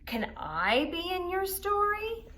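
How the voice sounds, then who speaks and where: A woman voicing a friendly, eager and excitable narwhal in a children's storybook. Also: extremely high pitch, takes it slowly, voice pushed up, heavily acted. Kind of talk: children's storybook read-aloud.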